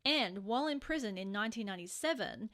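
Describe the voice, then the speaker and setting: Female podcast host for conversational true-crime narration, medium pitch, casual wording with punchy emphasis on turns. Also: lively intonation, fast talker, a bit bright.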